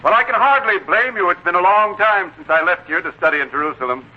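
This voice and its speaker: A man playing a self-assured young heir, politely cutting and formal, in a muffled, noisy 1940s radio drama. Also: sits high. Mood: polite, confident. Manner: measured, pointed, formal.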